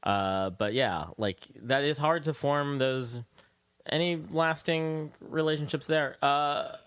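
A man hosting a casual conversational interview, thinking aloud haltingly and putting in follow-up questions. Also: a little high, fairly bright tone, smooth, no echo. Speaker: radio show host interviewing a guest.

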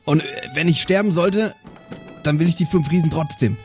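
A man's voice: on the high side, moderate pace. A young man playing in an improvised role-play, talking casually in slang with a joking manner. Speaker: player in a pen and paper podcast. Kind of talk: improvised in-character casual chat. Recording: faint music bed behind the voice. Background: faint background music.

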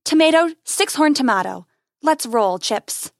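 A woman's voice: sits high, expressive, measured pace, no echo, clean studio sound. Woman playing a wry, confident space heroine. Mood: breezy. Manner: breezy, wisecracking.

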